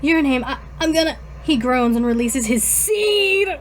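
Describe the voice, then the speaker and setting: Woman, high voice, giggly, informal fan fiction reading, halting delivery, slight background noise. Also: animated. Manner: informal, halting when reading aloud, breaking off to comment. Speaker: podcast co-host. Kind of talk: dramatic fan fiction reading with casual mocking commentary.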